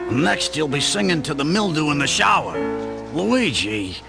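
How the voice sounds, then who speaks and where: A brash working-class hero for a radio play. The voice is creaky and high, swinging widely in pitch, full of sardonic wisecracks, with music clearly behind it. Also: male voice, very animated, normal speed. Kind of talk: dramatic audio play acting.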